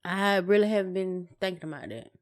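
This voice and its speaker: A woman co-hosting a podcast in casual chat, giving short, low-key, laconic replies. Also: brisk. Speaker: podcast co-host.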